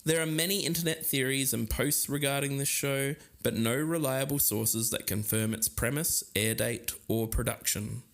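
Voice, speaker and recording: A man's voice: medium pitch, normal speed, hardly any pauses, a bit bright. A man co-hosting a podcast, moving between semi-scripted narration and conversational banter. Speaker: podcast co-host.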